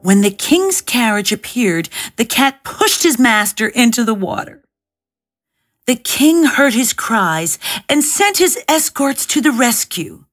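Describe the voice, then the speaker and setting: A woman's voice: on the high side, sing-song, slow pace, fairly bright tone, faint music behind. A woman narrating a scripted fairy tale as an audiobook reader.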